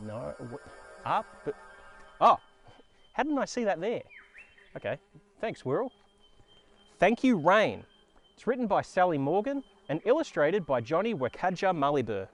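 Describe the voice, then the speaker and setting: An Australian man hosts a children's read-aloud. His voice sits a little high and swings widely in pitch. He comes across as playful and expressive, talking as if to young listeners. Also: measured pace, pauses often, faint music behind. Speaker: children's storyteller and show host. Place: outdoors in a forest.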